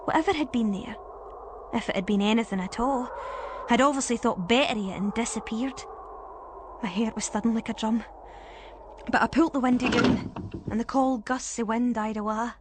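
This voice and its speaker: A woman playing a frightened but resolute narrator, telling a first-person ghost story in a suspenseful, deliberate way. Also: a little high, noisy background, lightly acted. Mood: tense.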